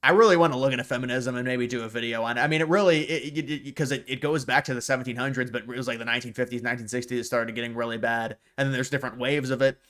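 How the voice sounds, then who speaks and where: A man's voice: mid-range voice, fairly even intonation, rapid-fire, hardly any pauses, a bit bright. A man hosting a live stream, commenting casually on clips and often stopping to restart and repeat words.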